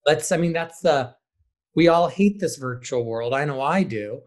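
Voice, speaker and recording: A man's voice: on the high side, animated, normal speed. A man speaking as a podcast guest and theater director, giving casual, conversational answers.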